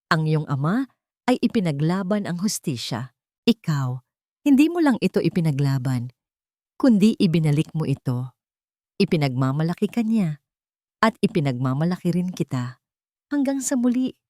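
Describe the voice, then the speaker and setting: A woman's voice: fairly low pitch, darkish tone, no echo, clean studio sound. Woman voicing a proud, tender parental general. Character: proud, affectionate parental general writing a letter.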